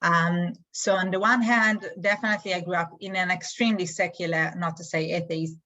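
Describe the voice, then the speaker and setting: A woman with an Israeli accent, answering interview questions in a thoughtful way with many pauses. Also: on the low side, steady tone, pushed and bright, lo-fi sound. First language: Hebrew. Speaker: guest, community emissary.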